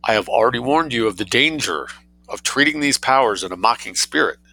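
A man's voice: medium pitch, sing-song, brisk, pushed and bright, rough recording. Man playing a solemn, easily flustered young conjurer. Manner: solemn, faltering under pressure.

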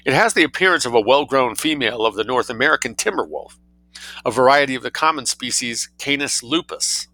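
A man's voice: medium pitch, lively intonation, fairly quick, vocal fry, pushed and bright, no echo, pitched above the performer's own voice, low-quality recording. A man voicing a pompous, unruffled English lord in a short story, speaking formally and pedantically. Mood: pedantic.

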